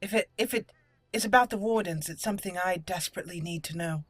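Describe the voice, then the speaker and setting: A woman voicing a haughty, formal female elf mage in a role-played fantasy video game, with measured speech. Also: on the low side, no room sound, lightly acted. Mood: curious, formal. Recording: game music clearly behind the voice.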